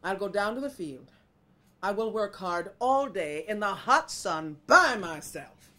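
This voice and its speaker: A woman storyteller voicing a kind, patient, hardworking male rooster in an earnest, drawn-out way. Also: medium pitch, animated, pushed and bright. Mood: reassuring, earnest. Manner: earnest, soothing, stretched crowing calls.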